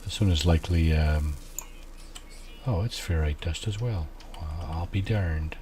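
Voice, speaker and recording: Man, deep voice, quiet, slow and easygoing, thinking aloud over a game. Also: background noise. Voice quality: low, soft voice. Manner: quiet and unhurried, musing aloud with many fillers. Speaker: gameplay streamer. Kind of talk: casual let's-play commentary.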